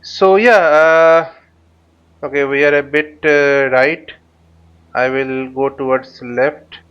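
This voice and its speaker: A man giving live tutorial commentary as he flies a simulator, speaking haltingly and often repeating or rephrasing himself. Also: medium pitch, steady tone, fairly bright tone, smooth, dull audio. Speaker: flight simulator instructor and channel host.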